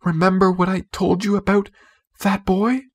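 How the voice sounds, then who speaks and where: A man puts on an anxious mother in an old storybook. The pitch sits higher than plain narration. The manner is gentle and pleading, tender toward the family. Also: little pitch movement, moderate pace, no room sound. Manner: pleading, soft-hearted.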